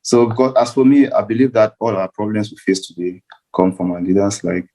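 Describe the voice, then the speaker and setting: A man's voice: on the low side, flat delivery, fairly quick, mellow, low-quality recording. A man on a discussion panel explaining earnestly, often repeating phrases as he builds his points. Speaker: panel speaker.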